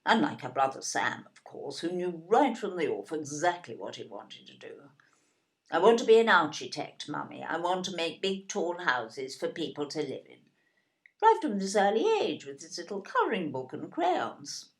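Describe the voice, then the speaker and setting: A genteel, snobbish older southern English mother in an audio drama. Her voice is fairly low but very animated, swooping theatrically as she gushes and condescends. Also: normal speed. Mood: proud, dismissive. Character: snobbish, gushing, genteel middle-class English mother-in-law type. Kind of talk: audio play acting.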